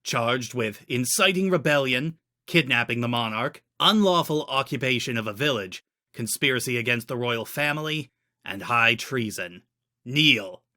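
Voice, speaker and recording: A man's voice: mid-range voice, animated, normal speed, bright, forceful tone, no room sound, clean studio sound. A man voicing a stern, contemptuous court wizard who speaks in a formal, cutting, declamatory way in a dramatic audiobook reading.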